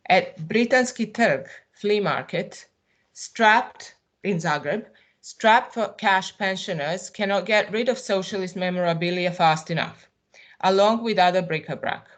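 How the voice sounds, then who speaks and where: Woman in her forties, Serbian accent, lowish voice, reads a prepared talk at a measured, reflective pace with small hesitations. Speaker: artist presenting at a symposium. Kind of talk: reading a prepared personal talk.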